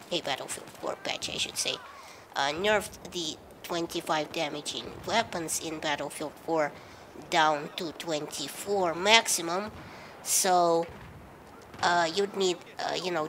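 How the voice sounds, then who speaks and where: A woman's voice: low voice, background noise. A woman with a head cold giving casual, rambling, wry video game commentary, with hesitations along the way.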